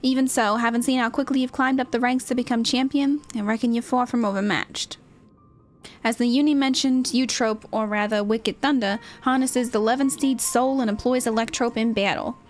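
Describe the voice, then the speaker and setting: A woman's voice: a little high, steady tone, normal speed, faint music behind, natural voice. A woman voicing an earnest, supportive young female fighter's second in video game dialogue, sincere and subdued. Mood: earnest, encouraging.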